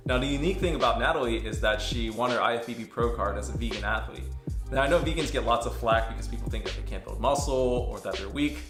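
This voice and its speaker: A man hosting an interview, briskly introducing his guest and the topic in a casual, upbeat way. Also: mid-range voice. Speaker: host, strength coach. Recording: echoey room with music underneath.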